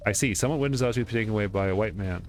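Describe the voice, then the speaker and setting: A man with a lowish voice reads game dialogue aloud at a quick clip. He trips over the odd word and corrects himself as he goes. Faint game music runs behind him. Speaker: let's play streamer. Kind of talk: reading game dialogue aloud during playthrough.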